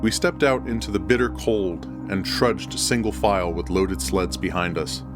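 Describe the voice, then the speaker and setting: Male horror narrator, very low register, scripted first-person storytelling with clear music underneath. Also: moderate pace. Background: background music.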